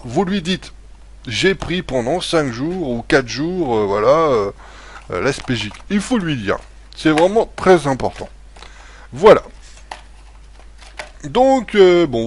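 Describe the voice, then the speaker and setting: A man talking casually in a vlog, rambling with many fillers and restarts, blunt and swearing now and then, chuckling often. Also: on the high side. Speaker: vlogger. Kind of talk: casual home-remedy vlog.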